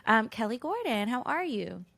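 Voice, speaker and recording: Bright, forward American woman podcasting. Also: on the high side, big pitch swings, moderate pace, gravelly, dry recording. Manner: candid, slangy, girlfriend-to-girlfriend delivery. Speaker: podcast co-host. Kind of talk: casual, chatty podcast talk.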